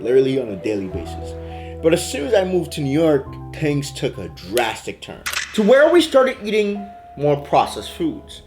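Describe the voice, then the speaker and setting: A young man from Haiti, a fitness coach, giving a blunt motivational talk with casual slang. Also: a little high.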